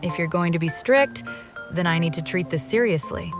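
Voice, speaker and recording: A woman's voice: lowish voice, normal speed, music in the background. A woman reading a scripted first-person game story, moving between narration and dialogue. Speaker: voice-over reader of a game story.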